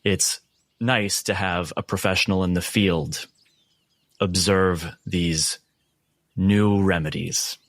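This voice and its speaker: A man playing a courteous, soft-spoken asylum doctor with a measured, clinical and quietly menacing manner in a tabletop horror game. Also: sits low, fairly even intonation, no echo, full character voice. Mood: smooth, reassuring.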